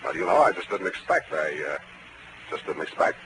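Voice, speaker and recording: An actor playing a wisecracking, hardboiled private detective in a 1940s radio drama, wry, clipped and slangy. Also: male voice, extremely low pitch, normal speed. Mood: flustered. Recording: noisy vintage 1940s radio recording.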